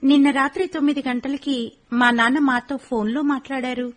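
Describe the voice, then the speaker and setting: A woman's voice: high-pitched, steady tone, moderate pace. An actress playing an anxious young daughter who urgently presses for news of her father. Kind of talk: radio drama acting.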